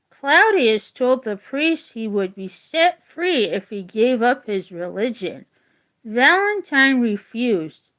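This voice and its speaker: A woman teacher reading a story aloud expressively and deliberately, with casual explanatory asides. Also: on the high side, a bit bright.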